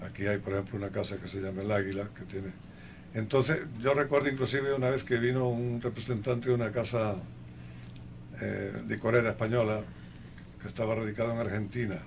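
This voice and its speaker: An older man being interviewed in a conversational way, speaking haltingly and listing things one by one, with a gravelly voice. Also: lowish voice, steady tone, a little hiss or hum. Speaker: interview guest.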